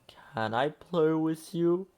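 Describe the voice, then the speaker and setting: A man's voice: on the high side, slow pace, a little mellow, clean tone, pitched above the performer's own voice. A man voicing a lonely young male giraffe in a storybook, hopeful and eager, asking politely. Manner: polite, pleading questions.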